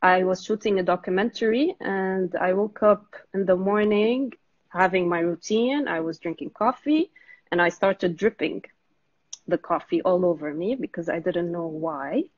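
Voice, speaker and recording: Woman on a video call, earnest and steady, dry muffled sound. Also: on the low side, moderate pace, no echo. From Lebanon. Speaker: guest. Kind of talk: telling her story in an interview.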